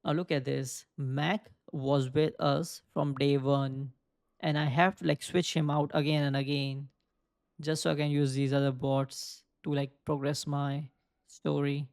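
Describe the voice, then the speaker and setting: A man's voice: fairly high pitch, flat delivery, a little slow, creaky, a little mellow, smooth, no echo. A young man casually commenting on a video game, thinking aloud and rambling with lots of filler words. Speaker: gaming video creator. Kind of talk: casual let's play commentary.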